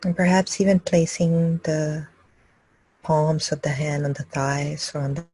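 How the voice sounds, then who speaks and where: Husky-voiced woman leading a calm guided meditation. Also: low voice, rough recording. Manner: calm, unhurried, with long pauses between phrases. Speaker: meditation teacher leading a live group session. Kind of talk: guided meditation, slow and gentle instruction.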